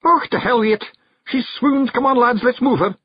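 Pint-sized Scottish fairy fighter for a storybook: blunt, excitable, high and husky. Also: male voice, normal speed, dry recording. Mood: urgent, loud. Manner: blunt, emphatic dialect talk. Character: tiny brash male fairy warrior, blunt and excitable. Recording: dull, lo-fi recording.

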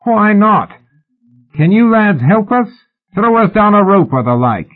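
A man voicing a brash ringleader posing as a drunken rascal in an audiobook, with rough, jovial shouting. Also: high-pitched, very animated, measured pace, narrow, tinny audio, pitched above the performer's own voice. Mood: rowdy, shouting. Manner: rough, jovial shouting, dropping to quiet command. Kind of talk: audiobook character acting.

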